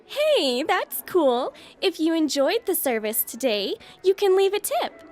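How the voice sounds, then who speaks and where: A woman's voice: very high voice, sing-song, unhurried, soft background music, full character voice. A woman playing a perky, over-friendly, passive-aggressive coffee shop barista in an audio comedy sketch. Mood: wounded. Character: relentlessly chirpy, guilt-tripping coffee shop barista.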